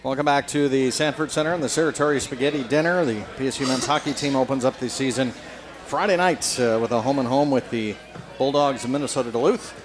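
A man's voice: mid-range voice, fast talker, music in the background. A man hosting and interviewing on stage at a live event. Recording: live event, noisy room.

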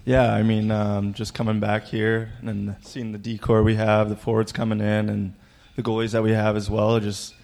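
A young man speaking in a very flat, even tone, almost without pitch movement. His voice is low and laid-back, and his answers come out plain and unhurried. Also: gravelly. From Canada. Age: 20s. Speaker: hockey team captain.

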